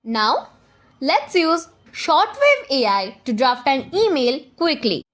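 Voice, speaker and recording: A woman presenting a scripted software tutorial, giving step-by-step instructions straight to the viewer. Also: high voice, sing-song, slow pace.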